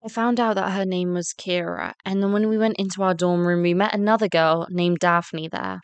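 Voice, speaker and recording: Smooth-voiced young schoolgirl narrator, acted by a woman. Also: lowish voice, fairly even intonation, moderate pace, no room sound, close to an everyday voice. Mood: earnest narration. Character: excited, bubbly new scholarship schoolgirl narrating her story. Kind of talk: first-person scripted story narration and acting.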